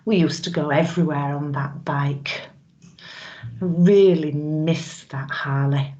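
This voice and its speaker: Brazen middle-aged widow, played by a woman, low-voiced, suggestive and wistful, theatrical monologue, dull home recording. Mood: wry. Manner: knowing, suggestive, with drawn-out pauses.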